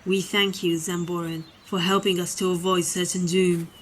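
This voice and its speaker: A woman playing a calm, courteous fantasy cleric with formal, measured speech in a comic audio drama. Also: fairly low pitch, a little hiss or hum, lightly acted. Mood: grateful, formal.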